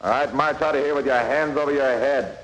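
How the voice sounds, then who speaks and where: A man's voice: mid-range voice, fairly quick, a bit bright, close to an everyday voice. Man acting a stern prison officer, echoing hall. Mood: commanding. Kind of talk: 1940s radio crime drama acting. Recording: echoey, reverberant 1940s radio recording.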